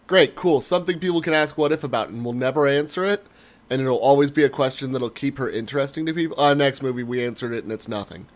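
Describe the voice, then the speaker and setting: A man's voice: medium pitch, fast. A man co-hosting a podcast, giving opinions casually with a sardonic, sarcastic edge. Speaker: podcast co-host.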